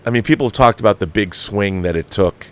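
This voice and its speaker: A man's voice: on the low side, brisk. A man hosting a film commentary show, giving an opinionated, exasperated and emphatic review peppered with swearing. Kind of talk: opinionated movie review rant.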